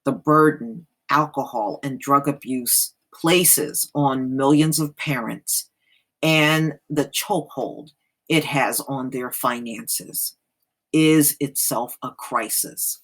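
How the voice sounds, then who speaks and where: A woman's voice: a bass voice, takes it slowly. An American woman hosting, reading an article aloud and adding plain-spoken casual commentary.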